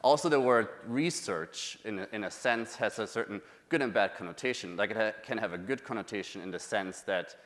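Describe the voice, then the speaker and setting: A man in his thirties with a German accent speaks to a conference crowd. His voice sits fairly low, and he talks loosely, with fillers and restarts. A long reverb rings around him in a big hall. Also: fairly quick, few pauses, a bit bright. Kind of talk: conference talk.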